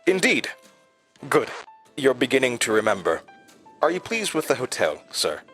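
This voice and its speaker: An actor playing an uncanny, eagerly servile hotel manager who speaks in a formal, deferential, butler-like way in a horror audio drama. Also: male voice, medium pitch, very animated, normal speed, a bit bright, soft background music. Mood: reverent, eager.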